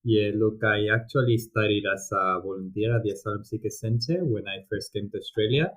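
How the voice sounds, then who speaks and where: A man from Spain with a Spanish accent, a podcast guest talking through his career in a thoughtful, casual way with frequent pauses and restarts. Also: fairly low pitch, a bit bright. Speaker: podcast guest, volunteering program director. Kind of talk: casual interview talk.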